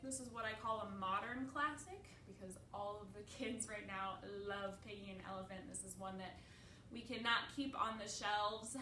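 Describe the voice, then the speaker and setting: High-voiced woman hosting children's storytime. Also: sharp tone. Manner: asks listeners questions, simple encouraging instructions. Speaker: children's librarian, storytime host. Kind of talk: children's storytime, talking to young audience. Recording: roomy, distant mic, faint noise.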